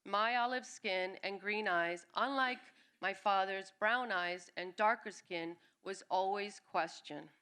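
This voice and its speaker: A woman born in Cuba and raised in New York City, reading her own personal essay aloud at a live event with an expressive delivery. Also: medium pitch, slow, few pauses, smooth. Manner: expressive reading, briefly acting out quoted family members. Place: live reading at a music venue.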